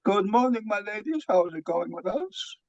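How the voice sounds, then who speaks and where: A man's voice: high-pitched, lively intonation, moderate pace, darkish tone, no room sound. A man playing an eccentric, earnest foreign psychiatrist in a comic play, pompous and theatrical with stilted grammar. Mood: brisk, patronising. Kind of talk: comic stage play reading. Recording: dull lo-fi microphone sound.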